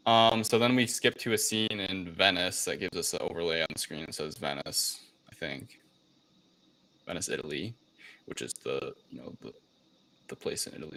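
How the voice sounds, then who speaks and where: A man's voice: deep voice, bright, forceful tone, poor audio. A man co-hosting a casual movie review chat, rambling informally through a plot recap and sometimes swearing. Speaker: podcast co-host.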